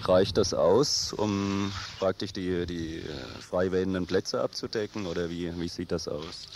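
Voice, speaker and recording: A man asking unscripted interview questions haltingly, repeating words, with some noise on the recording. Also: low voice, steady tone, darkish tone. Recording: faintly noisy interview recording.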